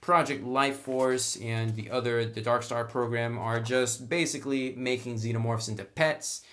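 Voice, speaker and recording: A man's voice: mid-range voice, steady tone, moderate pace, a bit bright, a little hiss or hum. Casual, enthusiastic male reviewer. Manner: conversational, enthusiastic about the material. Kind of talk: casual tabletop rulebook review.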